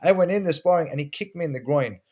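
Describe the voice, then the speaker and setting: A man's voice: fairly high pitch, animated, fast, dark tone. A middle-aged man from Sweden, a guest explaining self-defense in a casual interview with earnest stories and frequent restarts. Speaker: guest, martial arts instructor.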